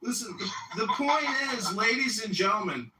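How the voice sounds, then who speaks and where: A man's voice: high voice, lively intonation, moderate pace, sharp tone. A man delivering a mocking, theatrical, profane and confrontational rant in an online voice chat. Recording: roomy, distant online audio, faint noise.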